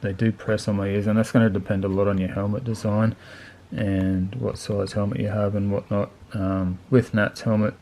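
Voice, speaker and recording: Low, flat-toned man reviewing gear. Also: normal speed, hardly any pauses. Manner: laid-back, colloquial, plain-spoken. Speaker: motorcycle video channel host reviewing gear. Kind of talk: casual unscripted product review.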